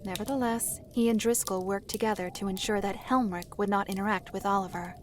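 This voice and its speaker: An actress playing a formal, matter-of-fact storyteller who recounts a dark fantasy history in a measured way. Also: female voice, medium pitch, fairly even intonation, background noise, lightly acted. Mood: grave narration. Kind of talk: scripted fantasy narration. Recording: faint music behind the voice. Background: music.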